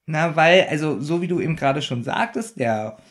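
A man's voice: a little high, animated. A man co-hosting a podcast, chatting casually about a radio play, often laughing and stumbling over his words. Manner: giggly, halting with fillers. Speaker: podcast co-host.

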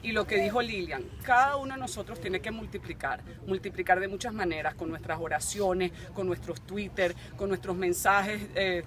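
A woman's voice: mid-range voice, normal speed, hardly any pauses, a bit bright. A woman giving a rallying outdoor speech to supporters and listing what each of them can do. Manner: rallying, lists actions for supporters. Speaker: sister of a jailed politician speaking at a rally. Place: outdoors among a crowd. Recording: outdoor crowd noise.

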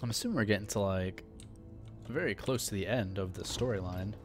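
A man's voice: fairly low pitch, a little fast. A man hosting a casual let's play, thinking aloud in a laid-back way. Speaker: gaming video host. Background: faint game music.

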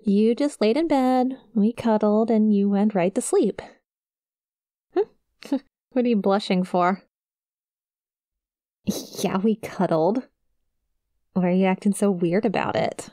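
A woman's voice: medium pitch, slow, long pauses, warm tone, no echo, clean studio sound, natural voice. A woman playing a friendly, awkward young succubus demon in an intimate ASMR roleplay, with a shy, casual, hesitant manner. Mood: teasing. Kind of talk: ASMR roleplay, intimate one-sided conversation to the listener.

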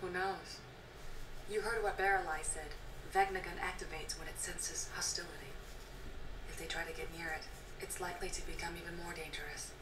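A woman voicing a cool, cynical young female warrior in a video game, deadpan and terse. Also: fairly low pitch, brisk, noisy background, natural voice. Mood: grim. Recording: echoey, distant game audio with music.

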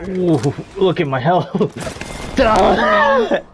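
Male streamer, playful and excitable, lively pitch, game noise underneath. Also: drawn-out words. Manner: playful, jokey, excitable callouts. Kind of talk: casual gameplay chat with friends. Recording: gunfire sounds behind the voice.

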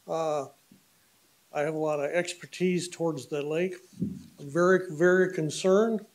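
An older man speaking briefly at a podium microphone in a meeting room, halting and unsure with many uhs. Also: a little high, a little mellow, poor audio. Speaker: candidate for community association board. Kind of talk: public comment speech.

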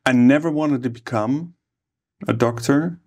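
A man in his forties, a plastic surgeon, telling his life story in a relaxed interview, speaking easygoingly with many hesitations into a table microphone. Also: mid-range voice, measured pace, slightly rough. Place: ornate room, seated interview. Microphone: studio microphone on table.

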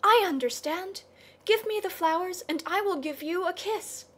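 Woman playing young goddess, high-pitched, tender, impulsive, earnest, storybook heroine. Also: lively intonation, measured pace. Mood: eager.

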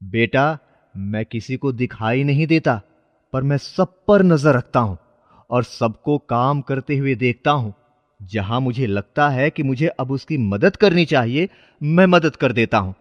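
A man's voice: medium pitch, expressive, measured pace, muffled recording, natural voice. A man plays a mysterious old beggar in a cartoon moral tale, carefree and fatherly. He talks benevolently to a child, with a long reverb and a slightly dulled sound. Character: carefree, kindly old mystical male beggar. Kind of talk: dramatized children's moral story.

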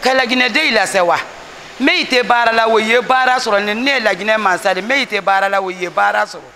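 A woman's voice: on the high side, fairly quick, sharp tone, slight background noise. A middle-aged woman from Guinea answering a street interview indoors, firmly repeating her main point. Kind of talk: vox pop answer. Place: indoors by a wall.

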